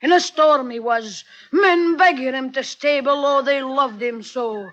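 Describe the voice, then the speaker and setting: High, boyish-voiced glib sailor rogue. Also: male voice, unhurried, fairly bright tone, lo-fi sound. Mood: falsely consoling. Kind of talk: 1950s radio drama acting. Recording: old 1950s radio broadcast.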